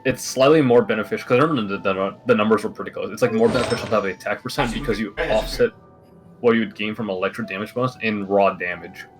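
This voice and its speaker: A man streaming a game, chatting casually in a halting way as he thinks aloud. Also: lowish voice, music in the background. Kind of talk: casual gaming chat.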